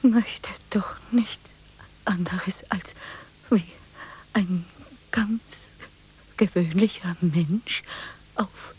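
An actress playing a dreaming, distressed woman in a German radio drama, halting and often breathy, half whispering. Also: mid-range voice, a little mellow, exaggerated voice, low-quality recording. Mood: anguished, pleading. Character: dreaming, distressed woman pleading for an upright walk.

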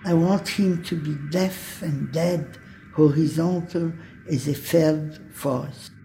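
A woman reading poetry deliberately, in short weighted phrases. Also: sits low, fairly even intonation, mellow, soft background music.

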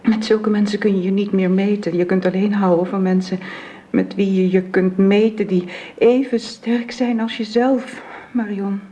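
A woman's voice: medium pitch, steady tone, natural voice. A woman playing a weary bedridden wife. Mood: resigned. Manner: soft, halting, often whispered. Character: long-ill bedridden wife, gloomy and resigned. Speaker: bedridden invalid. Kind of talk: radio drama acting. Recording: dull lo-fi old radio recording.

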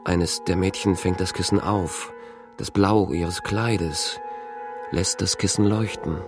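A man's voice: deep voice, steady tone, takes it slowly. An actor playing a haunted, brooding man who recalls intrusive memories in fragmentary monologue for a radio drama. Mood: haunted, absorbed. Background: music.

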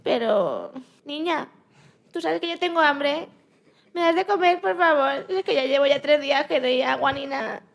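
A woman acts out a timid little bunny girl in a children's radio play. The voice is very high and creaky, childlike and plaintive, trusting like a small abandoned pet. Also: normal speed. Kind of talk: amateur radio-play acting of a children's story.

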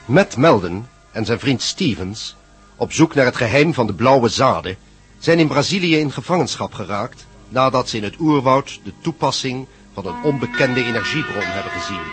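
A man narrates a radio serial in a scripted storytelling style. His voice is of average pitch. Music plays clearly behind him. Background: loud music.

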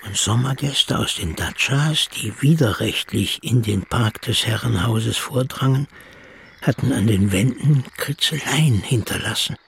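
A man's voice: mid-range voice, expressive, measured pace, gravelly, a bit bright, a little hiss or hum. A man reading scripted literary prose as a narrator.

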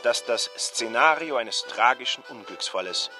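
An actor reading a sober local press report in a measured, factual manner within a radio drama. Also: male voice, mid-range voice, expressive, music in the background, close to an everyday voice.

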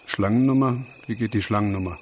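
An actor playing a probing, knowing jungle traveller in a radio drama, talking in a direct, conversational way. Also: male voice, fairly low pitch, fast, noisy background, lightly acted. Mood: low, probing.

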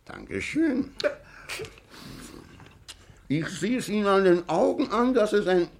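Pompous commissioner, swooping intonation. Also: male voice, high-pitched, very animated, creaky, a little hiss or hum, exaggerated voice. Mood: placating, sly. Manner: pompous, deliberate, placating. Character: self-assured, pompous male police commissioner. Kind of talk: classic German radio play acting.

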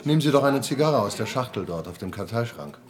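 A man's voice: medium pitch, lively intonation, fast, crowd noise, close to an everyday voice. A man playing a genial, offhand Parisian art dealer in a radio drama. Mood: offhand, hospitable.

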